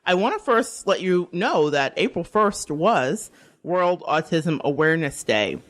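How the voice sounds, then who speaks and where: A woman's voice: fairly low pitch, expressive, measured pace. Female radio host from the Bronx; chatty, laughs often; studio sound. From the Bronx, New York. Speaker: radio talk show host. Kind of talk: radio interview.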